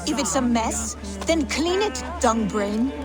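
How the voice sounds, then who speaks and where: Over clear music, a bossy Viking villager speaks in a high voice at a slow pace. She is sharp-tongued and suspicious, a scolding game character. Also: expressive. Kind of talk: video game voice acting.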